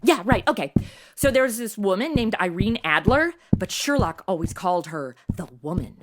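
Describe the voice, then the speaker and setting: A woman's voice: medium pitch, animated, moderate pace, background noise, natural voice. A woman playing a chatty, cheeky, jittery modern young woman in a comedy audio drama, slangy and over-caffeinated.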